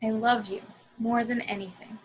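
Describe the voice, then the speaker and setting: A woman playing an earnest, anguished warrior in a table read, with a husky voice. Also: on the high side, fairly even intonation, normal speed, rough recording. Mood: pleading. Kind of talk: amateur table read of a film script.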